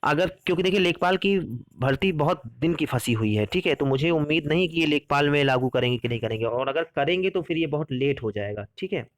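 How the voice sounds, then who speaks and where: A man's voice: on the high side, animated, fairly quick, few pauses, no room sound. A young man giving an informal explainer lesson on an exam. He talks in a conversational way, repeats himself and keeps checking in with the listener. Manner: repetitive, conversational, keeps saying "theek hai". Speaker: exam coaching presenter.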